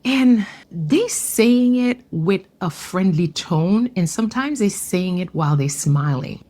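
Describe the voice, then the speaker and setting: A woman from Haiti giving an earnest, measured personal testimony. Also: mid-range voice, lively intonation, warm tone.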